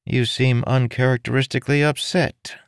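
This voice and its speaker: A man voicing an easygoing monk in an audiobook story, wry, relaxed and playfully teasing. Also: medium pitch, normal speed, clean tone, no room sound, clean studio sound, pitched above the performer's own voice, close to an everyday voice. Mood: curious.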